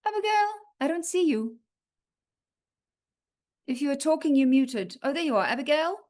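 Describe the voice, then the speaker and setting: A woman's voice: high voice, expressive, moderate pace, darkish tone. A woman hosting a writing feedback session on a video call, chatting casually, reading out comments and reassuring writers with frequent "okay?" check-ins. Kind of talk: casual live chat reading and answering comments.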